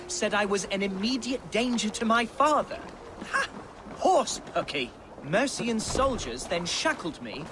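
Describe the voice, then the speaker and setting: A man's voice: high voice, background noise. A man playing a melodramatic, naive young nobleman in a video game, florid and theatrical in his delivery. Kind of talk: video game cutscene acting.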